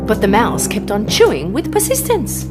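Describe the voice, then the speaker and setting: A woman storyteller reading a scripted children's fable in an expressive, dramatic way. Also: mid-range voice, moderate pace. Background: music.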